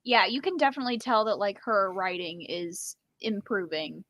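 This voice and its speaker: A woman's voice: medium pitch, moderate pace, a bit bright, no room sound. A woman co-hosting a casual live book chat in a wry, conversational way, dropping "like" often. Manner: wry, conversational, uses lots of "like". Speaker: co-host of a live book readalong.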